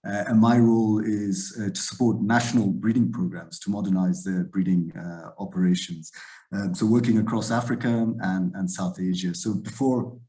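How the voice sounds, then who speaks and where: A man from Zambia introducing himself on a webinar panel, relaxed and hesitant with many fillers. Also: sits low, moderate pace. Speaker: plant breeding panelist. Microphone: video call.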